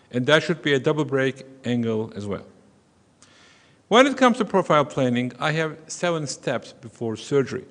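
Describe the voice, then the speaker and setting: A middle-aged man from Turkey with a Turkish accent, giving a measured, explanatory conference lecture as a surgeon. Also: medium pitch, fairly bright tone. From western Turkey. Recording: long reverb of a conference hall.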